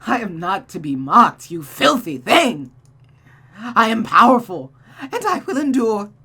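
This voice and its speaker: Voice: mid-range voice, sing-song, unhurried, bright, forceful tone, breathy edge, pitched above the performer's own voice, exaggerated voice. A streamer playing a proud, defiant, centuries-old being in a visual novel, declaiming with emphatic wrath. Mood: furious, proud.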